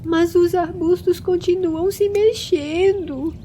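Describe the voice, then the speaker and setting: Uma mulher interpretando um pequeno ser jovem da floresta, medroso, ansioso e exclamativo, numa história em áudio. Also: female voice, very high-pitched, steady tone, takes it slowly, dark tone, a little hiss or hum, voice pushed up. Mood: apavorado. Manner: exclamatory, anxious, eager. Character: small excitable, fearful young male forest creature.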